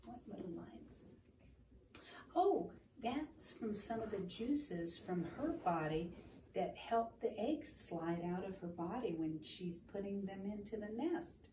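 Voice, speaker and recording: A woman reading a picture book aloud to a child and explaining it in a gentle, teacherly way. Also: medium pitch, animated, slow. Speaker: children's storytime reader. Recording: roomy, distant mic with room noise.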